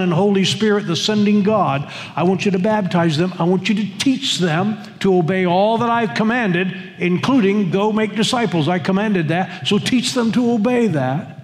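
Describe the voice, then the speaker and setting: An older man preaching a sermon as pastor, earnest and repetitive, leading the congregation in reading aloud. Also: sits high. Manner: earnest, repeats words and phrases, leads congregation reading. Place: church sanctuary. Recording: long hall reverb in a church.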